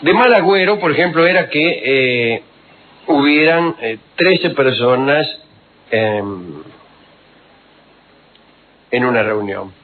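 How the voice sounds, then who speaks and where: A man, telling historical anecdotes on the radio in an unhurried, wry, digressive way full of fillers. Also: medium pitch, expressive. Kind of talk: rambling storytelling on radio. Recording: tinny phone-like radio recording.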